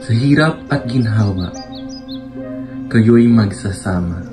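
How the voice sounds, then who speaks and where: A man's voice: lowish voice, slow, over music. A man playing a village man who advises and officiates in a radio drama. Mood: solemn. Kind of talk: student radio drama acting.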